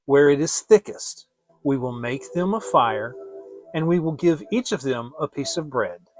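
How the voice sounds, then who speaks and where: A man's voice: fairly high pitch, lively intonation, measured pace, a little mellow, no echo, faint music behind. Man playing a scornful, bossy fairy-tale stepmother. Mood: cold, scheming. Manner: commanding, emphatic, scolding.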